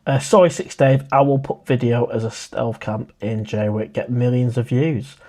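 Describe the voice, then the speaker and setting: A man's voice: medium pitch, normal speed, dark tone. A man, hosting a casual live stream and chatting in a friendly way as he reads out viewer comments. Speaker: livestream host.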